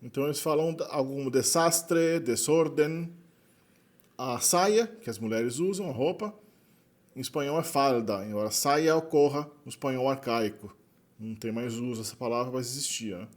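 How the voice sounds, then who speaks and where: A man with a Brazilian Portuguese accent talks through a linguistics lesson at a middle pitch. His delivery is loose and conversational, with frequent tag questions and small self-corrections as he explains. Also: moderate pace. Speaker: linguistics lecturer. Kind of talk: explanatory lecture.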